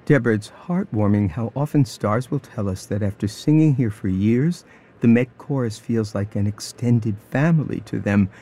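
A man's voice: lowish voice, moderate pace, mellow. A man co-hosting a radio broadcast, a polished, conversational announcer talking to his colleague. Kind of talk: scripted broadcast commentary.

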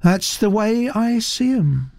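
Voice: medium pitch, expressive, a little slow, a little mellow, no room sound, pitched above the performer's own voice, lightly acted. A narrator voicing a young woman artist who drawls her words with placid indifference. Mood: nonchalant. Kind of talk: audiobook character voice.

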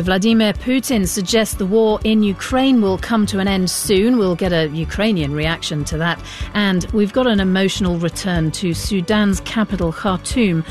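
A woman's voice: medium pitch, a little mellow. A woman with a British accent presenting a news programme on the radio.

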